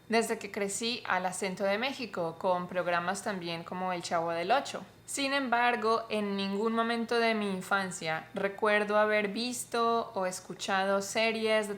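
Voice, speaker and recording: A Colombian woman with a Colombian accent who runs a Spanish-teaching vlog, explaining clearly and step by step to the camera. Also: mid-range voice, a bit bright.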